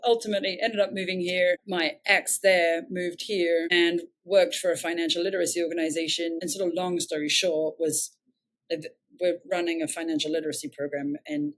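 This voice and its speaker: A woman's voice: fairly low pitch, poor audio. A woman with an English accent, a nonprofit founder telling her story in an interview, reflective and earnest, often correcting herself mid-sentence.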